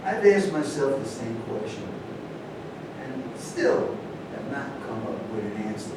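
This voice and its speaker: Stage reading, male actor as reflective, troubled golfer, medium pitch, distant and heavily reverberant. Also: huge pitch swings, unhurried, gravelly, exaggerated voice. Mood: questioning. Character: troubled, reflective champion golfer with a shattered private life. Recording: distant echoey stage recording, rumbling noise.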